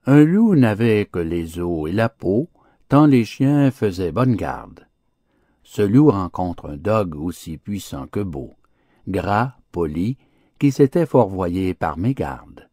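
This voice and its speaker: A man's voice: deep voice, warm tone, dry recording, studio quality. A man narrating fables for an audiobook in a theatrical, scripted storytelling style.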